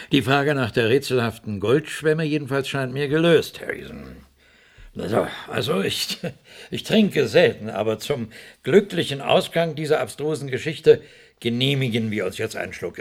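An actor playing a guarded, condescending male client in a German radio play, dry and skeptical, with a creaky voice. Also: mid-range voice.

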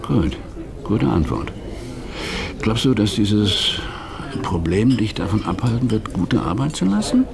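An actor playing a scheming middle-aged husband who calmly lays out a murder plan in a radio drama. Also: male voice, on the low side, unhurried, creaky, crowd noise, full character voice. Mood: calm menace. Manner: calm, businesslike, quietly menacing.

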